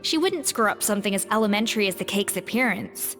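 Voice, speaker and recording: A woman's voice: mid-range voice, lively intonation, fast talker, fairly bright tone, in a large hall, faint music behind. A woman playing a proud, principled young head chef in a video game, speaking in an earnest, firm, formal manner. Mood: composed, doubtful.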